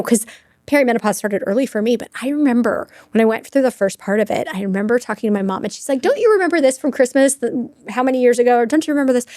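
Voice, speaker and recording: Woman co-host, 40s: higher voice, earnest anecdotal storyteller, dry close sound. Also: mellow, dry recording. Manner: earnest storyteller, shares personal anecdotes and research. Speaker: podcast co-host. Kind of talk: casual chat.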